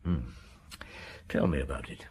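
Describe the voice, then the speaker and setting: An actor playing a seasoned, genial middle-aged police inspector in a radio drama, conversational, wry and musing. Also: male voice, rumbling low, a little slow, darkish tone, slightly rough, exaggerated voice. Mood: attentive, courteous.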